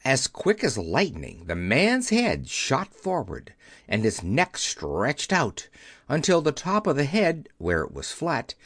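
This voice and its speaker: A man's voice: fairly high pitch, no echo, clean studio sound. A man narrating a children's audiobook, telling the story as a scripted reading.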